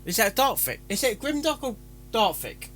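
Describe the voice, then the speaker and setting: A man's voice: very high voice, big pitch swings, moderate pace, fairly bright tone, soft background music. A man chatting casually as a live-stream reactor, stumbling over his words and interrupting himself. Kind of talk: casual live-stream chat with rehearsed intros.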